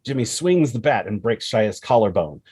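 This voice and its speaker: A man's voice: lowish voice, animated, moderate pace, a little mellow, husky, no room sound, clean studio sound. A man reading stage directions as dramatic scripted narration at a table read, in punchy phrases. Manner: punchy, present-tense action reading.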